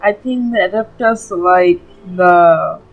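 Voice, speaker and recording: A woman's voice: fairly low pitch, slow, narrow, tinny audio, soft background music. A woman giving casual let's-play commentary, informal and full of fillers as she reacts aloud. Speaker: gaming YouTuber.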